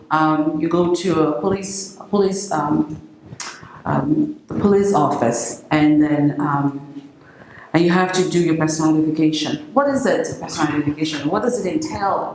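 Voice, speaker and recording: Female English teacher for home learners, slightly low pitch, didactic and question-led, heavily reverberant room. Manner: didactic, repeats phrases and asks rhetorical questions. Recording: very echoey room with faint noise.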